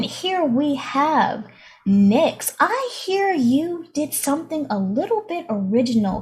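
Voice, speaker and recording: Woman playing an upbeat, high-voiced showbiz interviewer. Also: darkish tone, full character voice. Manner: presenterly, emphatic, repeats phrases. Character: upbeat female showbiz interviewer presenting a new pop group.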